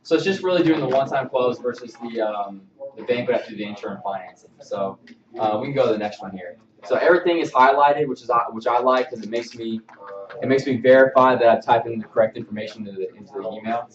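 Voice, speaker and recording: A man giving an informal, halting work presentation on templates as a mortgage trainer, recorded from a distance indoors. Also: medium pitch, crowd noise. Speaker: mortgage loan officer giving staff training. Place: indoor training room with projection screen. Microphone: far camera microphone. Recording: distant, roomy, slightly dull mic.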